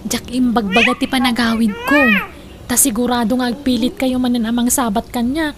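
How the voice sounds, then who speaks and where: Woman acting a gentle young adult daughter, high voice, Ilocano accent, soft-spoken, respectful, radio drama, noisy recording. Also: fairly even intonation, moderate pace, lightly acted. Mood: affectionate. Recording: clear background noise.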